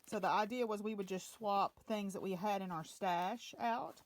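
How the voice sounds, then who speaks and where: A woman's voice: medium pitch, moderate pace. A woman chatting casually in a home vlog, rambling along with plenty of fillers. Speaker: vlogger.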